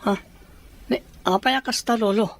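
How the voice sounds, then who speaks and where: A woman's voice: a little high, moderate pace, vocal fry. A woman playing a guarded, reluctant teenage grandson in a radio drama, curt and questioning. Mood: protesting.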